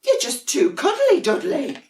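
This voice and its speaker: Lady putting on clingy little storybook penguins, high and pleading, singsong, roomy sound. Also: sing-song, a little slow, creaky, a little mellow, exaggerated voice. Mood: adoring. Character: clingy, cuddle-loving young penguin siblings.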